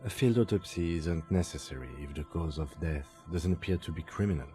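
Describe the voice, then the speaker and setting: A calm, pragmatic video game detective played by a man, with a very deep and mellow voice. He talks in measured, formal sentences with dry matter-of-factness. Music plays underneath. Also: lightly acted. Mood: matter-of-fact. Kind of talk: scripted video game dialogue.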